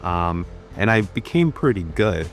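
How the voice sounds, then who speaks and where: A man's voice: sits low, very animated, a little slow, soft background music. A man in his thirties from Ghana and Brooklyn, telling personal stories conversationally as an interview guest, repeating phrases for emphasis. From born in Ghana, grew up in Brooklyn, New York. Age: 30s. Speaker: venture capital partner, interview guest.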